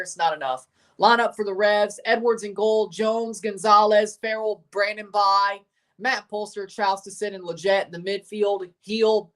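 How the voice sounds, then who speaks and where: A man's voice: sits high, fairly bright tone. Opinionated man hosting a live watchalong. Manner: opinionated, ranting, reads chat aloud; reads out team lineups. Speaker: livestream host reading chat. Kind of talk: casual live watchalong chat.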